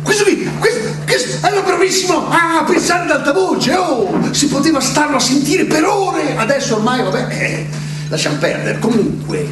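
An actor playing a pompous, domineering master in a stage play, blustering and barking orders, recorded live in a theater from a distance. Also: male voice, very high-pitched, sing-song, moderate pace. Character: pompous domineering master, middle-aged man. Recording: echoey live theater broadcast from far off, with music.

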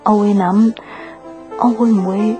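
An actress plays a lovelorn young Hong Kong woman in a Cantonese radio drama. She is dutiful and introspective, speaking at a middling pitch in a subdued, reflective way, with music clearly behind her. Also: steady tone, a little slow, natural voice. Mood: brooding. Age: young adult. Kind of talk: Cantonese radio drama acting with inner monologue.

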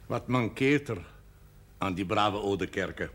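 A man acting a wry, cultured senior cleric in a television period drama, speaking in measured, formal and ironic phrases. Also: lowish voice, animated, a bit bright, breathy edge, heavily acted. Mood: probing, wry. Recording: noisy old 1970s television soundtrack.

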